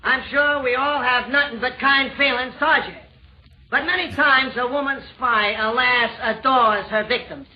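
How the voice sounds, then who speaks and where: A man's voice: very high-pitched, steady tone, moderate pace. A man playing a haughty fiend, mock-polite and theatrical, taunting in rhyme in a 1930s radio comedy. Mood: sardonic. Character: haughty male fiend taunting in hell's council. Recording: dull old-time radio broadcast recording.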